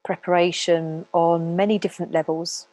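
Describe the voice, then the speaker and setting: A woman speaking as a meditation teacher, calmly guiding listeners in an unhurried way with long pauses. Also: on the low side. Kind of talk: guided meditation talk.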